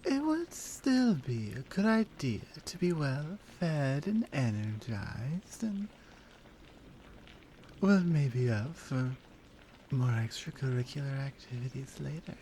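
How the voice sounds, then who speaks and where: A confident, flirty lioness acted out by a woman purrs through an intimate ASMR roleplay in a low, mellow voice. Her delivery is unhurried and breathy, teasing as it slips toward whispers, with lively melodic swings over faint background noise. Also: heavily acted, low-quality recording. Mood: seductive.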